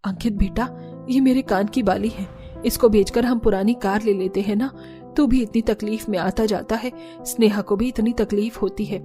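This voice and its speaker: A gentle older mother-in-law from a cartoon family tale, put on by a woman. She sounds self-sacrificing and motherly, with faint music behind. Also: mid-range voice, steady tone, moderate pace, natural voice. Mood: gentle, sad. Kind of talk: Hindi cartoon story dialogue.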